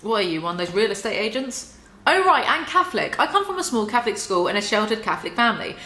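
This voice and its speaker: Sarcastic woman reading aloud, bright and roomy. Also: mid-range voice, big pitch swings, quick pace. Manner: sarcastic, mock-theatrical. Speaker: YouTuber reading and mocking a book. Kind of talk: dramatic reading with sarcastic commentary. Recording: roomy echo.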